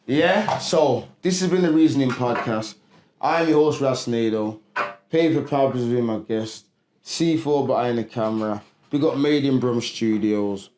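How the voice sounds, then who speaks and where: A man hosting a podcast, giving short relaxed replies and easy slang. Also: medium pitch, unhurried. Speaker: podcast host. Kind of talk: casual interview chat. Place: recording studio. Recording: roomy studio with faint noise.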